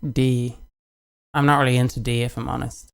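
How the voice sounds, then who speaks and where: A woman's voice: rumbling low, little pitch movement, normal speed, vocal fry, clear, resonant tone. A British woman streamer casually reading out and answering viewer comments in short, offhand replies.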